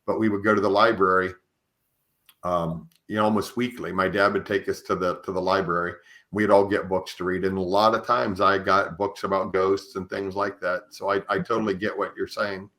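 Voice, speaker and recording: Deep-voiced male podcast host. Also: little pitch movement, fairly bright tone. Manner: halting, searching for words. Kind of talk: conversational interviewing with personal commentary.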